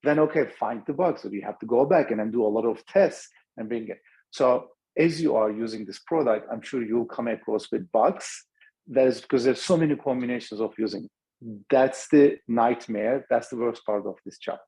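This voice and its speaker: Middle-aged turkish guy rambling through a webinar talk, husky voice. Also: mid-range voice, moderate pace, mellow, low-quality recording. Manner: loose, thinking aloud with many restarts. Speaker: startup founder presenting.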